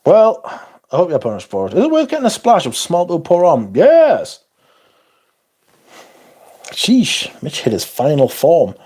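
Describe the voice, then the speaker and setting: Guy on a livestream, husky voice, swears a lot, pitch jumps all over. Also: huge pitch swings, unhurried, pauses often, warm tone. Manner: sweary, sarcastic, banters with chat. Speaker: livestream host reading chat. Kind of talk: casual livestream chat.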